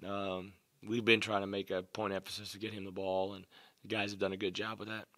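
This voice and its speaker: Low, bright-toned middle-aged coach. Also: male voice, level tone, no echo. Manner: measured, lots of "um" and "you know", repeats phrases. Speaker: head basketball coach. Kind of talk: postgame press conference answers. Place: press room, seated at a table before a logo backdrop. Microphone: desk mic on a stand in front of him.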